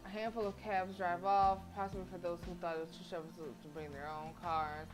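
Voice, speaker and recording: Expressive woman streamer reading over music. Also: lowish voice, fast. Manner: expressive reading, slangy interjections. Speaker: live streamer. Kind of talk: reading a visual novel aloud with casual asides. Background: game music.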